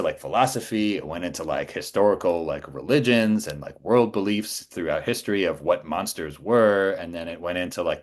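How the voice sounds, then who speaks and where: A man chatting casually as a guest on a podcast, talking in an enthusiastic, emphatic storytelling way. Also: sits low. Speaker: guest voice actor and audiobook narrator.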